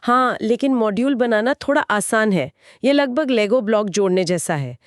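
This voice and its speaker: A woman's voice: fairly high pitch, lively intonation, moderate pace, no echo, clean studio sound. A woman with an Indian accent in a conversational explainer podcast, speaking in an assured, explanatory way as co-host. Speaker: co-host explaining company financials.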